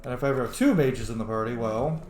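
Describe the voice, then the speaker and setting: A man's voice: mid-range voice, quick pace, a little mellow, echoey room. A man giving casual, jokey gameplay commentary, swearing freely and talking back to the screen. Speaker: game streamer, let's player.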